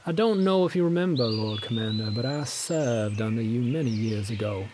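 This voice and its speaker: A man's voice: mid-range voice, lively intonation, normal speed, natural voice. Guy as gossipy elf doctor, eager to please, medium voice, birds and slight noise. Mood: soothing, admiring. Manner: obliging, ingratiating, gossipy. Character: chatty, deferential male elf doctor, old veteran. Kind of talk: fantasy audio drama acting. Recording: outdoor birdsong ambience, faint noise.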